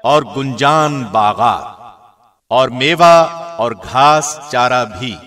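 A man reading a scripture translation as a scripted narration, in a measured, formal way. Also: mid-range voice, fairly bright tone. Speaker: translation narrator, radio host.

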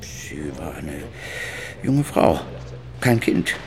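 A man's voice: a bass voice, big pitch swings, very slow, gravelly, a crowd in the background, heavily acted. An actor playing a guarded, middle-aged father being questioned in a German radio drama. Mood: guarded, reflective. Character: Alex Henry, soft-spoken father and museum man under questioning.

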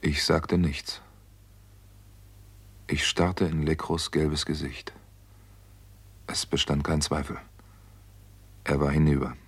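A man's voice: very deep voice, level tone, slow, long pauses, heavily acted. An actor playing an earnest, driven doctor who recounts his story in a 1960s German radio crime drama. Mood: hushed, intense.

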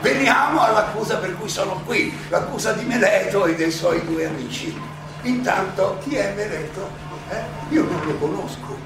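An actor playing a defiant, ironic old philosopher defending himself at trial, in a theatrical monologue full of rhetorical questions and dramatic pauses. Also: male voice, sits high, animated, vocal fry, over music. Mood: ironic, challenging. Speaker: stage actor. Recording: echoey, distant, noisy stage recording.

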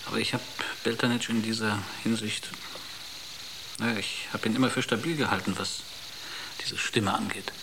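A man's voice: fairly low pitch, fairly even intonation, moderate pace, vocal fry. A man playing a cautious, concerned spaceship crew member in a naturalistic radio drama, restrained and probing. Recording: noisy radio drama recording.

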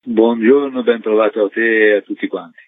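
A man's voice: lowish voice, fairly even intonation, moderate pace. A man speaking as an artistic director, describing his work as a guest in a radio interview. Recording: tinny phone line on radio.

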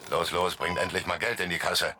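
Actor as deep-voiced, wounded gangster boss. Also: male voice, steady tone, fairly quick, background noise, natural voice. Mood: gruff, businesslike. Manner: rambling, disjointed muttering. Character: Dutch Schultz, wounded delirious male gangster boss. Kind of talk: psychedelic radio drama acting. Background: music bed.

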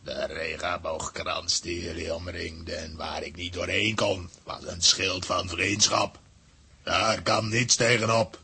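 An actor playing a grave, defeated warlord in a children's radio play. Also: male voice, low-pitched, level tone, slow, sharp tone, a little hiss or hum, full character voice. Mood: humbled, solemn. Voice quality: raspy, gravelly. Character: defeated, humbled warlord villain, grave and solemn.

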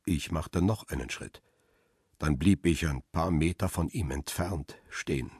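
An actor playing a grim male photographer who narrates a crime radio drama in a measured, terse, husky voice. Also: rumbling low, darkish tone, dry recording, clean studio sound, full character voice. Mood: grim, tense. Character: middle-aged male photographer Peter Lime, grim first-person narrator.

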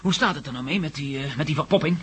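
An actor playing a genial, hopeful filmmaker in a radio drama, hesitant and searching for words. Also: male voice, on the high side, quick pace, crowd noise, lightly acted. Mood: curious, hopeful.

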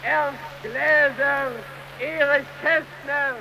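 A very high, gravelly male voice plays a fanatical crier at a book burning in a radio drama. Each phrase is drawn out at a monotone, over loud crackling noise. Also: exaggerated voice. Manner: slow declaiming shout, names drawn out. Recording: loud noise like rain or fire behind.

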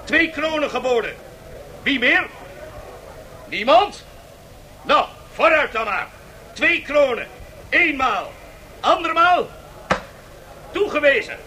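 A brash, mocking auctioneer barks in a very high, forceful voice. He hawks theatrically like a market crier in a radio play. Faint noise sits on the old recording. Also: very animated, slow pace, pauses often, exaggerated voice. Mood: mocking, hawking. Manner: loud, theatrical market-barker patter. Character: brash, mocking male auctioneer hawking a bankrupt empire. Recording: faintly noisy 1970s radio drama tape.

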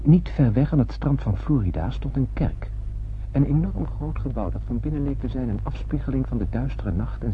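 A solemn male astronaut played by an actor tells his story in a lowish voice. The delivery is poetic and measured, like literary radio drama. The sound is narrow and tinny, with clear noise from an old recording. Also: lightly acted. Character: male astronaut narrating a solemn space tale. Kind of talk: Dutch radio play narration. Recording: muffled phone-like old radio recording, noisy.

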